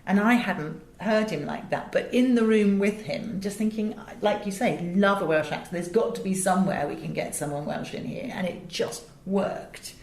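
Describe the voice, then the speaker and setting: A woman with an English accent talking as an interview guest, chatty and expressive, often breaking off and restarting. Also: mid-range voice, normal speed, few pauses. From England. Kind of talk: animated interview conversation. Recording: echoey room.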